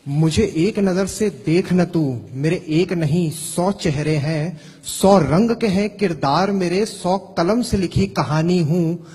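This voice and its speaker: A male poet recites verse in a rhythmic, measured cadence. His voice is slightly raised and husky. It trails off in the long reverb of a hall. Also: high-pitched, darkish tone. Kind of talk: poetry recitation.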